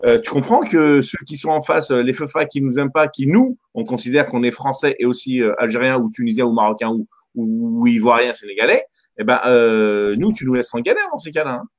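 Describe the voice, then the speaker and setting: A man's voice: mid-range voice, animated, a little fast. A man born in Algeria hosting a radio debate, interrupting often and stammering as he argues. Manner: interrupts, stammers, repeats himself. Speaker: radio host.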